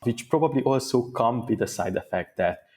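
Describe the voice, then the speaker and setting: A man answering interview questions as a guest, in a thoughtful, measured way with small restarts. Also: mid-range voice, animated, darkish tone. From Hungary. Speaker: guest data engineer.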